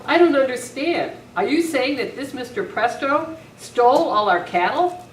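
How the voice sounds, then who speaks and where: Echoey far room, woman doing high-pitched earnest lady in a radio western. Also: expressive, normal speed. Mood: bewildered. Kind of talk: old-time radio play reading by club members. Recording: echoey meeting room from far off, faint noise.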